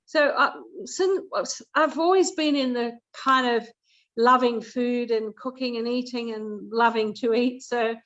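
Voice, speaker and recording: A middle-aged woman with a fairly high voice chats as a guest. Her storytelling is casual and jovial, over slightly dull, lo-fi call audio. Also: a little slow, fairly bright tone. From Zambia, later Australia. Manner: jovial, often chuckles. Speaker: guest, cooking coach. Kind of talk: casual chat, personal storytelling.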